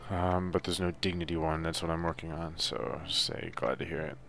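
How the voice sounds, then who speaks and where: A man's voice: low voice, little pitch movement, normal speed, fairly bright tone, soft background music. A man giving a laid-back, offhand, unscripted walkthrough commentary as he plays through a video game. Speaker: gameplay guide narrator.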